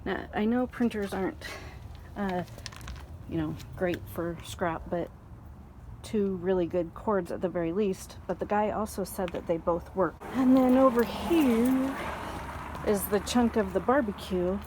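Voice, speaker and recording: A woman's voice: mid-range voice, measured pace, noisy background. A middle-aged woman chatting casually in a home vlog, telling rambling stories with lots of fillers. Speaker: vlogger reselling scrap. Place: at home, garage and car.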